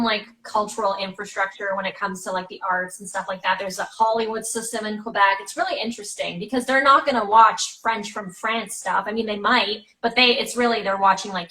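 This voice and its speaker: Bright-voiced woman from Montreal. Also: mid-range voice, recorded from a distance, low-quality recording. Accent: Montreal English. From Montreal, Canada. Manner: chatty, lots of "like" fillers. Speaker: actress, guest. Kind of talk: casual video-call chat. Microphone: video call.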